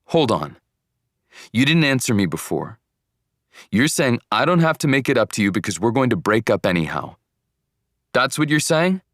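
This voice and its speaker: A man's voice: medium pitch, sing-song, moderate pace, pauses often, fairly bright tone, no echo, studio quality, voice pushed up. A man voicing a hurt, indignant teenage boy arguing in an audiobook story. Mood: indignant, upset.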